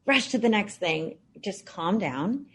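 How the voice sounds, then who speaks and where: A woman's voice: mid-range voice, lively intonation, measured pace, slightly rough. Earnest woman in her thirties, reflective. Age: 30s. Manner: earnest and reflective, with frequent fillers. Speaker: podcast guest, founder. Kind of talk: casual interview answers.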